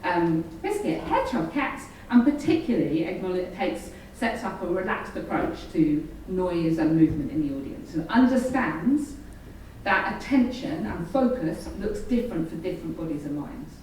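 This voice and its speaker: A woman's voice: lowish voice, sing-song, normal speed, darkish tone. A woman giving an explanatory live presentation as a panel speaker, with involuntary vocal tics that interject stray words. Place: event venue, recorded from afar. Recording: very echoey room, distant mic, faint noise.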